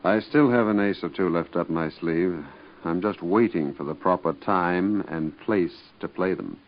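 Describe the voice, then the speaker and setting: A calm, dogged gentleman in a vintage radio serial. His voice is deep and assured. The recording is dull with age. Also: a little slow. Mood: reassuring. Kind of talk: 1940s radio soap acting.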